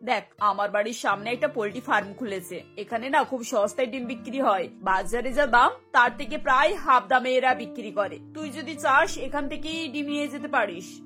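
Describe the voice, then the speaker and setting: A woman's voice: on the high side, moderate pace, pushed and bright, soft background music. A woman voicing a caring middle-aged aunt in a Bengali cartoon, breezy, reassuring and persuasive. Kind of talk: cartoon voice acting.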